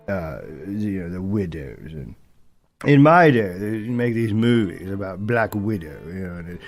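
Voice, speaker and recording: Man playing a doddering, low-voiced old British critic. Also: animated, faint music behind. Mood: puzzled, mildly indignant, then nostalgic, rambling. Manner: rambling, halting, forgetful, muttering asides. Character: doddering, opinionated old British male film critic, easily confused. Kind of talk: comic in-character film review monologue.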